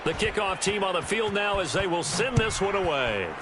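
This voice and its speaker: Excitable American football announcer, video game commentary, higher-set voice, clipped phrasing, very noisy stadium backdrop. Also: male voice, normal speed. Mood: brisk, engaged. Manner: crisp play calls in clipped phrases. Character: polished, excitable male TV football play-by-play announcer. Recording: game stadium noise over the voice.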